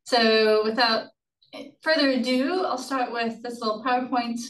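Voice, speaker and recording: A woman presenting a webinar in a relaxed, chatty way. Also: on the high side, a little slow. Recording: echoey, distant mic.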